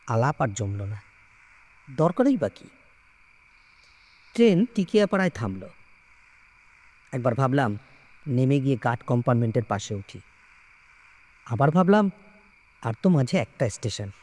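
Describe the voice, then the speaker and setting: A man reading a story aloud in a measured, expressive, suspenseful way with dramatic pauses. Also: mid-range voice. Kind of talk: dramatic scripted story narration.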